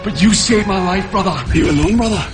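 A man's voice: high-pitched, fast talker, lightly acted. An actor playing a driven, haunted Scottish man, heard in TV drama clips with a Scottish accent. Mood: intense. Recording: TV clips with music and ambience.

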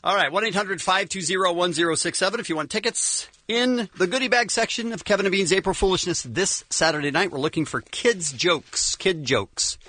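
A man's voice: high-pitched, fairly quick, hardly any pauses, sharp tone. A man hosting a morning radio show, reading promos and taking callers. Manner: upbeat, reads promos and takes calls. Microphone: studio mic.

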